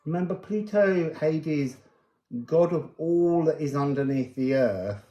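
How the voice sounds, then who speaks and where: A man with a mellow voice gives a spiritual card reading in an echoey room. He speaks very slowly and musingly, wandering from one thought to the next without a script. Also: on the high side, lo-fi sound. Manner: musing, meandering, drifting between thoughts. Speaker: oracle card reader and host.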